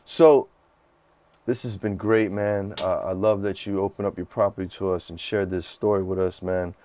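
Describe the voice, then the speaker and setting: A man's voice: sits low, steady tone, normal speed, mellow. A man in his thirties hosting a podcast interview, chatting casually and effusively in slangy speech full of "bro" and "man". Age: 30s.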